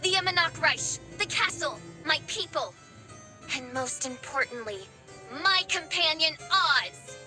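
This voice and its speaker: A very high-pitched, piercingly bright young princess girl from an anime game. She is haughty but insecure, declaiming with theatrical grandeur over background music. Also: animated, unhurried, full character voice. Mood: scornful, commanding. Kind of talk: scripted anime game voice acting. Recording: music behind the voice.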